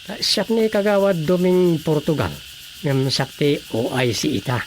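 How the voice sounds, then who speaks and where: A man's voice: fairly high pitch, lively intonation, normal speed, a little hiss or hum, lightly acted. An actor playing a matter-of-fact village councilman in a radio drama. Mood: businesslike. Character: male village councilman, acting officer in charge. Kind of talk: Ilocano radio drama acting.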